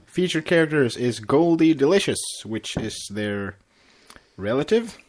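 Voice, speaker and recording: A man co-hosting a casual fan podcast, chatting and reading notes in a halting way, sometimes repeating himself. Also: mid-range voice, very animated, a little mellow. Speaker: podcast co-host.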